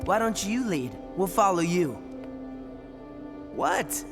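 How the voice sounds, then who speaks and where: A man's voice: high voice, animated, slow pace. An actor playing a cocky, grumpy teenage boy in a video game, blunt and sarcastic about everything. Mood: sarcastic, suspicious. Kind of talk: scripted video game cutscene acting. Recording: loud music behind the dialogue.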